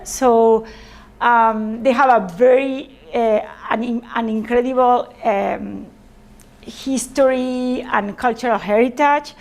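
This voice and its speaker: Spanish lady giving an informal talk, kinda high voice w some creak, repeats her words. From Spain. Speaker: presenter, sailor. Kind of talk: informal presentation to a group.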